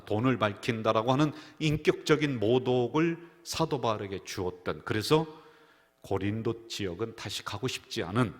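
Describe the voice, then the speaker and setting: A man, the senior pastor, preaching a Sunday sermon in a church sanctuary, telling stories and acting out the people he quotes. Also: medium pitch. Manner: storytelling preacher who acts out quoted voices. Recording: reverberant church hall.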